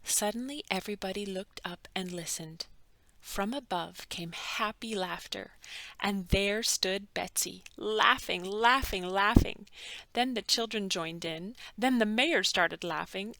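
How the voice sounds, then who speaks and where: A woman narrating a children's bedtime story with animated, dramatic emphasis and drawn-out words. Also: mid-range voice, creaky, no echo. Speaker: children's book read-aloud narrator.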